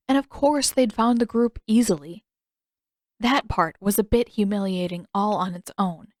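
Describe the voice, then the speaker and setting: A woman reading scripted fiction as an audiobook narrator, with expressive storytelling. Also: mid-range voice, no room sound, clean studio sound.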